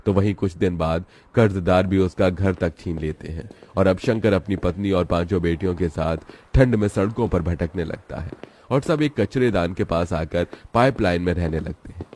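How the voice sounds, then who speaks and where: A man's voice: sits low, moderate pace, darkish tone, slight background noise. A man narrating a scripted story as its storyteller.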